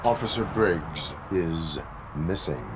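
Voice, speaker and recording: An actor playing a stern cyborg police officer who speaks in clipped, formal, emotionless lines. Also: male voice, low-pitched, animated, slow pace, background noise. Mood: flat.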